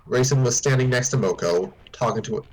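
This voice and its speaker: A man's voice: medium pitch, brisk, darkish tone, low-quality recording. A man reading a story aloud informally, now and then stumbling and repeating words. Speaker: story reader on a stream.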